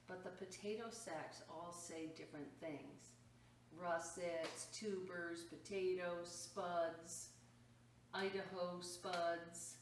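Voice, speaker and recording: A woman with a slightly low voice reads a picture book to children at a very slow pace. She is expressive, exclaiming and adding asides about the pictures. The recording is echoey, as if in a room. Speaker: storytime reader at a library. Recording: roomy echo, distant mic, faint noise.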